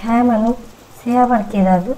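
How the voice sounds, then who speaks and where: A woman's voice: on the high side, steady tone, normal speed, dark tone, slight background noise, lightly acted, rough recording. A woman voicing a timid young village boy in a horror cartoon, asking anxious questions. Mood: frightened.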